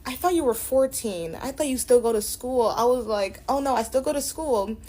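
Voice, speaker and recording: A woman's voice: fairly high pitch, animated, moderate pace, warm tone. A woman of nineteen casually telling stories, acting out the dialogue as she goes. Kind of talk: casual storytelling.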